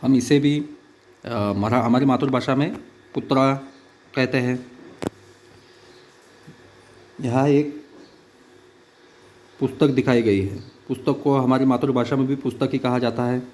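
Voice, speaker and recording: A male schoolteacher talks through a children's picture lesson. His pitch sits in the ordinary middle range. He speaks in short, halting phrases and often stops on filler sounds. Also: fairly even intonation, darkish tone. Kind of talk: online class lesson.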